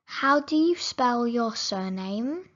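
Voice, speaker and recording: A woman reading simple English phrases for learners, saying each sentence slowly and clearly, then repeating it. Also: a little high, animated, fairly bright tone, muffled recording. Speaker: English teacher.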